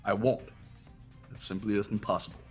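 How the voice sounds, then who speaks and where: Man acting a haughty, deep-voiced rich young heir. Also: little pitch movement, moderate pace, faint music behind, close to an everyday voice. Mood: haughty, cold. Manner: haughty, condescending, formal. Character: arrogant, cold, wealthy young male elite heir. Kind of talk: amateur voiced video game playthrough.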